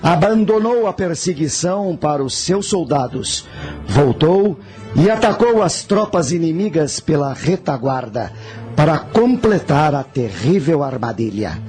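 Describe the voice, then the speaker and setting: Guy playing a proud ancient general in a radio play, slow and solemn, kinda higher voice. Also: big pitch swings, darkish tone, faint music behind. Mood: grave, commanding. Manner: solemn, measured, formal. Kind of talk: radio drama acting.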